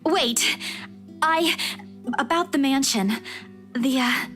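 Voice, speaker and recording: A high, girlish-young adult woman for a visual novel game, timid and courteous. She speaks hesitantly, as if easily flustered, over light music. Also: slow pace, a bit bright. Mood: nervous, courteous. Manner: hesitant, stammering.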